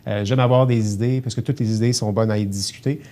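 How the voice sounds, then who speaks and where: A middle-aged man from Abitibi speaks with a Québécois accent in a slightly low voice. He talks earnestly but haltingly, filling his pauses with hesitations as he explains his work. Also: steady tone, dark tone. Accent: Québécois French. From Abitibi, Quebec. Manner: earnest, many hesitations and "euh" fillers. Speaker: grocery store general manager, guest. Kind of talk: casual TV interview.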